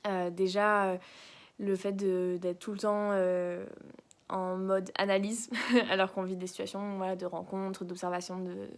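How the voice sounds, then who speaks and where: A woman's voice: mid-range voice, little pitch movement, measured pace. A young woman, a doctoral student speaking French as a second language, talking casually in a video diary from a hotel room, hesitant and searching for words with light laughs. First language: not French.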